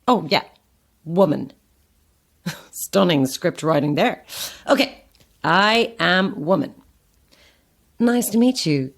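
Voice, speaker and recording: Audio drama: nervy, self-deprecating actress, unhurried, animated pitch, wry and theatrical. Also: female voice, creaky, exaggerated voice. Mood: wry, self-mocking. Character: anxious, self-deprecating actress hired for an odd job. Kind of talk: audio horror-comedy drama acting.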